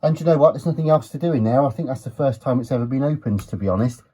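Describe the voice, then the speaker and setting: A man giving an unhurried, low-key, matter-of-fact commentary as he works on a gadget, muttering to himself at times. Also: dark tone, breathy edge. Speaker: retro electronics hobbyist presenter. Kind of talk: casual hands-on teardown commentary.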